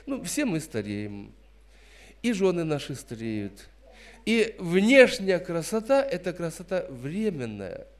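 A man's voice: sits high, darkish tone. A man in his fifties preaching a sermon in an emphatic, admonishing way, repeating phrases for stress. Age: 50s. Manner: admonishing, didactic.

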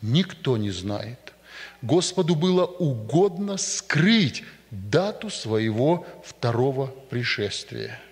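A man preaching a sermon to a congregation in a church, speaking emphatically and deliberately. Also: mid-range voice, sing-song. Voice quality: emphatic, rising and falling for stress, slow deliberate delivery. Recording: long reverb of a church hall.

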